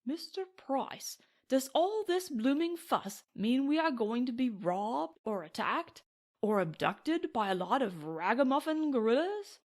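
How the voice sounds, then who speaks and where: A woman voicing a pompous, indignant English gentleman blustering in an audiobook western. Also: high-pitched, very animated, unhurried, voice pushed up, heavily acted. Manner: pompous, blustery British slang.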